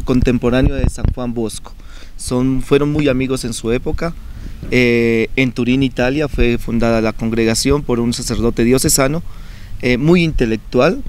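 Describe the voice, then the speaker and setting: Young male seminarian guest from Colombia, mid-range voice, earnest explanatory delivery, faint noise behind. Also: mid-range voice. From Colombia (Bucaramanga, Santander). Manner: calm, earnest, explanatory with frequent fillers. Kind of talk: conversational TV interview.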